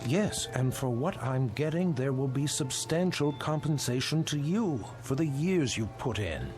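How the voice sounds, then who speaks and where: An actor playing a practical, brisk museum owner in an audio drama, speaking in a reasoning, persuasive and sometimes dismissive way. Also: male voice, medium pitch, lively intonation, over music, close to an everyday voice. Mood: firm, reasoning.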